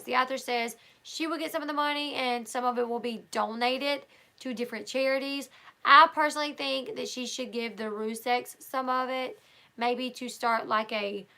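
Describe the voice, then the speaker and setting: A woman who is hosting a livestream, reading a book aloud and chatting casually with her viewers. She is hoarse and talks loosely, with fillers and restarts. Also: fairly high pitch, pushed and bright. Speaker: livestream host.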